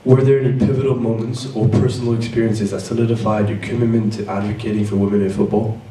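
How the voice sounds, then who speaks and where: A man's voice: fairly low pitch, level tone, fast, dark tone. A young man working as an interviewer, reading out prepared questions. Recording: echoey room, distant mic, faint noise.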